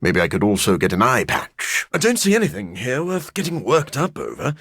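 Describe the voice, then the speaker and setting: A man playing a brooding, scholarly living vampire in a video game, husky and formal, articulate and dryly sardonic. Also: medium pitch, big pitch swings, fairly quick, fairly bright tone, dry recording, studio quality, exaggerated voice. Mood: wry.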